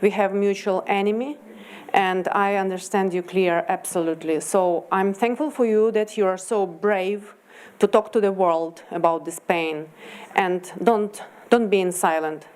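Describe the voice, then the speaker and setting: A woman's voice: medium pitch, fairly even intonation, a little slow, darkish tone, clean tone. A Ukrainian woman, a veteran in the audience, thanks the team in direct, grateful English.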